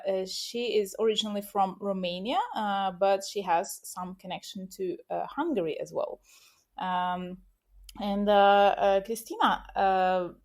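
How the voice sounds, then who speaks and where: A woman hosting a podcast interview in a casual way, full of hesitant filler sounds. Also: mid-range voice. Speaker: podcast host.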